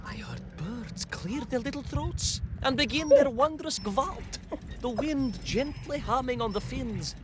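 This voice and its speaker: An actor playing a bumbling, boastful but kindly Soviet cosmonaut, telling tall tales for a game, dramatic and rambling. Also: male voice, very high voice, lively intonation, a little slow, heavy background noise. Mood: dramatic, grandiose. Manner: dramatic, rambling, full of hesitant asides. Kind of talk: theatrical storytelling game voice acting.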